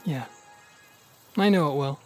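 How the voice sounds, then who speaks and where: A man's voice: a little high, a little slow, over loud music, lightly acted. A man acting a reassuring, reflective and sentimental brother in a naturalistic audio drama. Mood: supportive.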